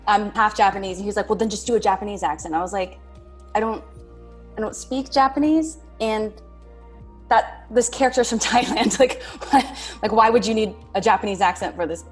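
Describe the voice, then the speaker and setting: A woman's voice: medium pitch, brisk, faint music behind. A woman talking casually as a guest on a panel, telling anecdotes in a conversational way and quoting dialogue as she goes. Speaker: talk-show guest, stage actress.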